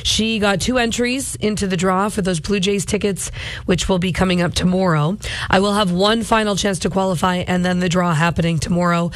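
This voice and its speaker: A woman's voice: on the low side, steady tone, fairly quick, dry recording. A woman hosts a morning radio show, rambling on in a casual, chatty way. Her voice is going, strained from overuse. The studio sound is close and dry. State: losing her voice. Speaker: radio host. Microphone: studio microphone.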